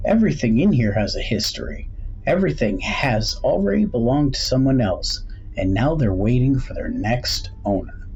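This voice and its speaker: A man voicing a middle-aged male antique shopkeeper in a suspense storybook, mysterious and unsettlingly pleasant, welcoming, measured and cryptic. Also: mid-range voice, sing-song, a little mellow, pitched above the performer's own voice. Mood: pleased, cryptic. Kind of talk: storybook character voice in suspense tale. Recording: dull recording with faint background noise.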